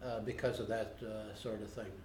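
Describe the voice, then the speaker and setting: An older man being interviewed, recalling his past in a plainspoken, unhurried way with frequent pauses. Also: lowish voice, fairly even intonation, darkish tone, a little hiss or hum. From United States. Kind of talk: unscripted recollection. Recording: roomy home video recording.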